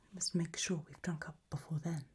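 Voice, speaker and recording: A woman playing an elegant, macabre aristocratic lady in a whispered ASMR roleplay, breathy, languid and serenely gracious. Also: sits low, fairly even intonation, a little mellow. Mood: gracious, darkly pleased. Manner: slow, languid, genteel.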